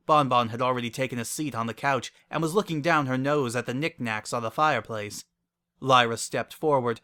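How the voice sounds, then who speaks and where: A man's voice: medium pitch, pushed and bright. A man reading scripted dramatic narration as a story narrator.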